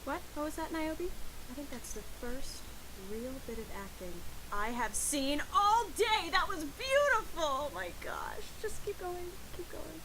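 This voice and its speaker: Bossy, high, animated stage director. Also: female voice, unhurried. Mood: gushing. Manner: bossy, nitpicking, interrupting. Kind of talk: bantering game audio log acting. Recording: clear hiss behind the voice.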